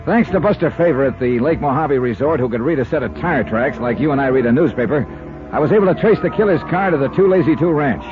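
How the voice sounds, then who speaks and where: Actor as cool radio detective, dull vintage recording. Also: male voice, on the high side, music in the background, close to an everyday voice. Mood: brisk, narrating. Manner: measured, wry, calm under threat. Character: cool, wry male insurance investigator hero. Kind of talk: 1950s radio drama narration and dialogue.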